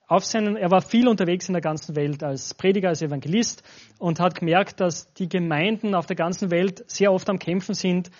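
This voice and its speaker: An Austrian man in his forties, a pastor speaking informally and directly to a church congregation as a guest. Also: high voice. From Styria, Austria. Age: 40s. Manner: informal, addresses listeners directly. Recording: dull, lo-fi sound.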